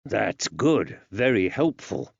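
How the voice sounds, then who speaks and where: A kindly man, voiced by a male narrator, asks questions gently and patiently in an audiobook story. His delivery is unhurried and coaxing, with old-fashioned working-class turns of phrase, recorded dry. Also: lowish voice, sing-song, gravelly, husky, no room sound, lo-fi sound, heavily acted. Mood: probing, encouraging.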